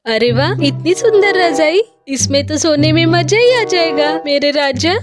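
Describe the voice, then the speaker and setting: A woman voicing excitable, bossy household females in a comic cartoon story, gushing as she talks. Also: high-pitched, unhurried. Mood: gleeful. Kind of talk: animated Hindi comic story acting. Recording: loud background music.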